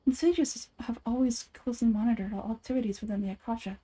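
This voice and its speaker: Woman playing a soft-toned earnest scholar's assistant. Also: on the high side, fairly even intonation, quick pace, natural voice.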